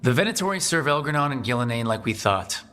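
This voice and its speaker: An actor playing a friendly, level-headed team leader in a video game, speaking in a measured, conversational way. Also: male voice, medium pitch, very animated, sharp tone, slight background noise. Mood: steady, serious. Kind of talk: video game cutscene acting.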